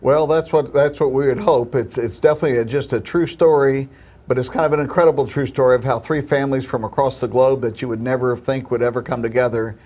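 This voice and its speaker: A film producer talks through his answers in an earnest, hopeful tone, sometimes restarting a phrase. He has a mid-pitched male voice. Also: fairly even intonation, fast talker, a little mellow. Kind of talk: interview answers.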